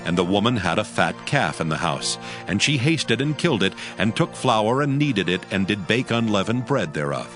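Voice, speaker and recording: A man narrating scripture from a script in a solemn style. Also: fairly low pitch. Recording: music bed behind the voice.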